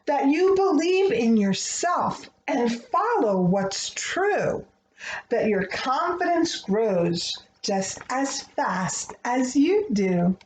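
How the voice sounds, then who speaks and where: A woman reading a rhyming children's picture book aloud, with expressive, deliberate phrasing meant for young listeners. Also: sits high. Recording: roomy echo, faint background noise.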